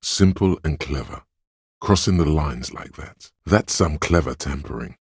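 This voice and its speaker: A man voicing a cool, sly, goading inner voice in a video game, narrating in the second person with deliberate, savored words. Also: expressive, no echo, clean studio sound, full character voice. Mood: observant, measured. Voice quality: very deep, gravelly, husky.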